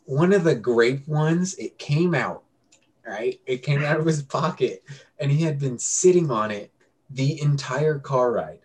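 A man's voice: medium pitch, animated, a little slow, darkish tone. A young man telling stories in a casual, slangy chat with friends, as a podcast co-host. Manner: slangy, conversational. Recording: clear background noise and ambience.

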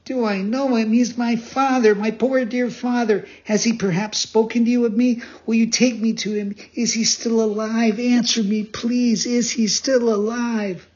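A man acts out a little wooden puppet boy from a storybook. The voice is pitched far up and boyish, full of anguished, imploring earnestness. The recording is somewhat dull and lo-fi. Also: level tone, measured pace, few pauses, darkish tone. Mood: excited, anxious. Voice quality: high, boyish put-on voice. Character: Pinocchio, young wooden boy marionette, anguished, pleading, excitable.

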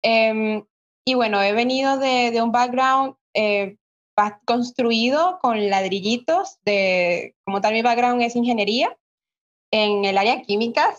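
A woman with a Venezuelan accent talks in a fairly high voice through slightly muffled, lo-fi audio. She is an interview guest chatting casually, circling back over words as she explains. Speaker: podcast guest, online marketer. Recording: dull, lo-fi online call audio.

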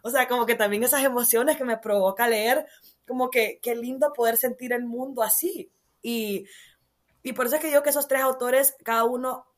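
A young woman from Honduras with a Honduran accent, a guest author telling stories in an animated, casual interview. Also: fairly high pitch, moderate pace. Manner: animated, storytelling with many fillers.

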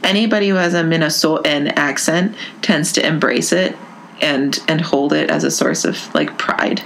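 A woman's voice: low voice, expressive, creaky, background noise. A woman from New York co-hosting an arts podcast, easygoing and agreeable in casual chat. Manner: easygoing, agreeable, quick to laugh. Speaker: podcast co-host and interviewer.

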